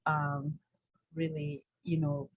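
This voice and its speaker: Husky Kenyan woman, fairly even pitch. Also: no echo, dull audio. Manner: informal, halting, frequent "you know". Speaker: interview guest, holistic health coach. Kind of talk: conversational interview storytelling.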